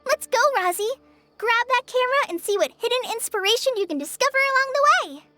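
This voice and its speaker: A woman voicing a kind young female traveler in a game, gentle and reassuring. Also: very high voice, expressive, brisk, soft background music, heavily acted. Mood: flustered. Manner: gentle, reassuring, flustered when caught. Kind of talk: game voice acting.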